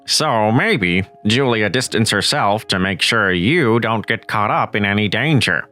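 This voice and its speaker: A cheerful male school buddy, voiced by a man in a manga dub. His tone is bright and bouncy, with lively pitch. Light music plays behind. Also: unhurried. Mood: friendly. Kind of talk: manga voice-over acting.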